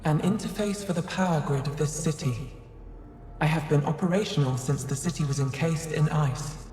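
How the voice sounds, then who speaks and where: A woman's voice: deep voice, moderate pace, mellow, close to an everyday voice. Woman as ancient machine intelligence, female, formal, weary and bitter, game dialogue, long echoing reverb. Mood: guarded, formal. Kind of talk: video game voice acting. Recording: echoey hall with long reverb.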